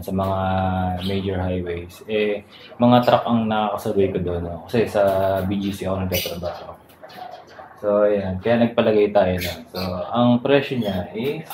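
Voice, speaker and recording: A man's voice: lowish voice, a little mellow. A man with a Filipino accent hosting a casual vlog, talking conversationally with frequent little tag words. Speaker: motovlogger and channel host. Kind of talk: casual vlog product rundown. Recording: roomy echo, clear background noise.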